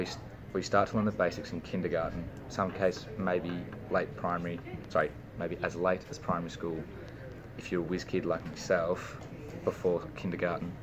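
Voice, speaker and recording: A man's voice: low-pitched, fairly even intonation, moderate pace, crowd noise. A young Australian man, a university student, reading a prepared personal speech with small slips and restarts. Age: young adult.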